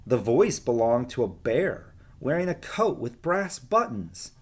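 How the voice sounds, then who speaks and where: A man's voice: mid-range voice, sing-song, a little slow, slightly rough. Man reading a picture book aloud. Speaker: schoolteacher reading aloud to students. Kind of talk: picture-book read-aloud and casual class talk.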